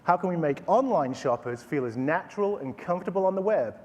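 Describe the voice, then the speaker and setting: A man in his forties with a Texan accent, pitching a product from a conference stage with a lively, sing-song voice that rises and falls a lot. He sounds a little high for a man, and his voice rings out through a large hall with a long echo. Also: brisk. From Texas, USA. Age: 40s. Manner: animated, showman-like pitch with playful asides. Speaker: company CEO presenting a product. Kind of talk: staged product demo presentation.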